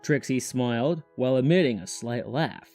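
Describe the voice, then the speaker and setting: A man's voice: medium pitch, normal speed, mellow, faint music behind. A man reading scripted third-person story narration as the narrator of a fan audio drama.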